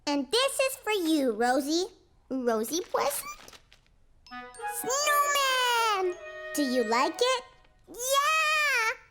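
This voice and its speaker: A woman voicing an excitable, sweet four-year-old cartoon boy in an eager, childlike manner. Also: very high-pitched, drawn-out words, pauses often, over loud music, full character voice. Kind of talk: preschool cartoon acting.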